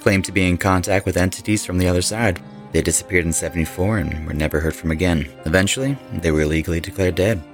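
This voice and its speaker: Male actor as laid-back rock musician, deep casual voice, intrigued tone, horror audio drama, light background music. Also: fast talker.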